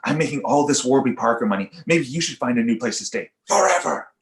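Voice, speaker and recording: A man playing an insecure, sarcastic failed-comedian father, defensive and sardonic, in an animated comedy read. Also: medium pitch, quick pace, close to an everyday voice. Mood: defensive, bitter.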